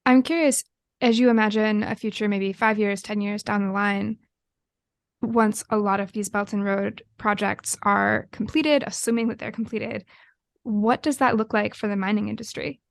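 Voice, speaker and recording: A woman's voice: mid-range voice, fairly even intonation, normal speed, gravelly, dry recording, clean studio sound. A woman interviewing a guest on a podcast, asking questions in a conversational way.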